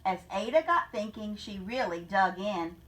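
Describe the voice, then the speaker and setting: A woman reading a rhyming children's picture book aloud in a deliberate, expressive storytelling manner, like a teacher. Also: mid-range voice, distant mic, poor audio.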